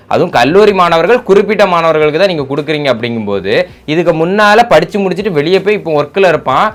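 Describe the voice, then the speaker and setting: Fast-talking man arguing his opinion. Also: high-pitched, a bit bright. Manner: argumentative, rhetorical questions, English words mixed in. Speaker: YouTube political commentator. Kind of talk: opinionated reaction commentary.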